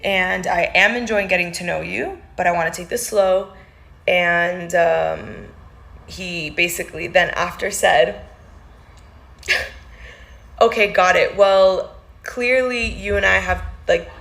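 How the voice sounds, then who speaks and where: A woman's voice: on the low side, takes it slowly, gravelly, a bit bright. A woman who grew up in the United States, telling the story of a date and quoting what both of them said. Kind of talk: storytelling clip.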